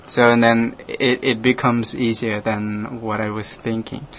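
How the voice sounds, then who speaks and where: A man from Laos, a native Lao speaker, hosting a radio talk and speaking haltingly with many restarts. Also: mid-range voice, level tone, fairly bright tone, slight background noise. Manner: halting, hesitant, frequent restarts, laughs often. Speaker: radio program host, monk. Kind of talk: casual conversation in English.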